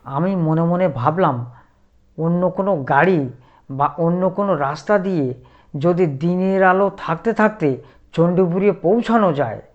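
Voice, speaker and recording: Slow, deliberate male horror storyteller. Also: high voice, dull audio. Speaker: narrator. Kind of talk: scripted first-person horror story narration.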